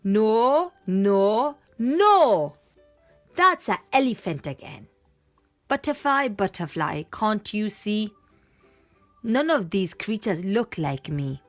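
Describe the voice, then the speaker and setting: A woman's voice: medium pitch, sing-song, takes it slowly, soft background music, voice pushed up. A woman voicing a small lost monkey child in a children's storybook, protesting emphatically as it searches for its mum. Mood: exasperated, protesting. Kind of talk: children's picture book read-aloud.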